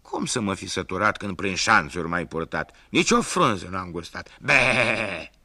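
A man's voice: sits low, animated, sharp tone, dry recording, heavily acted. A man voicing a spiteful, lying she-goat who answers in sing-song rhymes and bleats. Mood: sly, singsong. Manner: sing-song rhyming replies ending in bleats.

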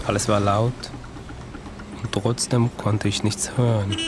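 Man, low gravelly voice, very slow reflective monologue, noisy recording. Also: flat delivery.

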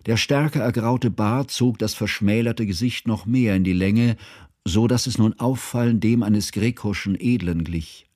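Deep-voiced, solemn grieving scholar. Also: male voice, darkish tone, dry recording, studio quality. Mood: mournful, reflective. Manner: solemn, measured, elevated diction. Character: grieving, learned German man narrating his friend's death. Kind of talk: literary radio drama narration.

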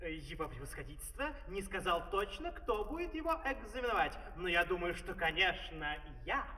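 An actor playing a self-important, officious courtier in theatrical dialogue from a comic fairy-tale opera on an old record. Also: male voice, sits high, sing-song, moderate pace, bright, forceful tone, exaggerated voice. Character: self-important male courtier vying to be examiner. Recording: distant reverberant old vinyl, music.

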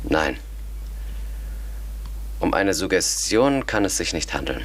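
An actor playing a sceptical, methodical educated man who reasons formally and deliberately in a German radio drama. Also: deep voice, big pitch swings, heavily acted. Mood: pondering.

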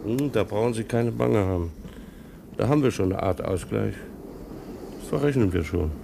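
An actor playing a brisk, unbudging train ticket inspector in a radio play, dryly polite and officious, with a gravelly voice. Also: male voice, fairly low pitch, heavy background noise. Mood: dry, firm. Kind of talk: German radio play acting.

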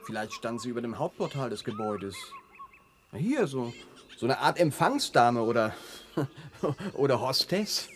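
A man's voice: mid-range voice, sing-song, a little slow, pauses often. A laid back sceptical guy in an old radio drama, swoops around a lot, offhand, noisy. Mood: casual. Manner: casual, offhand. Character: easygoing, sceptical man shrugging off eerie voices. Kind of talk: German radio play acting. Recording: noisy vintage radio play recording.

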